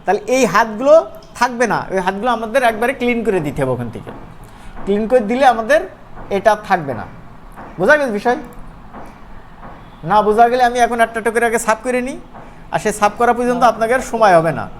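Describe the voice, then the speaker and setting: A man with a regional Bangladeshi Bengali accent giving an informal software tutorial, conversational and often checking that his students follow. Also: very high voice, expressive, fast talker, long pauses. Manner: conversational, often asks check-back questions. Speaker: animation course instructor. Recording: noisy room, clearly audible background noise.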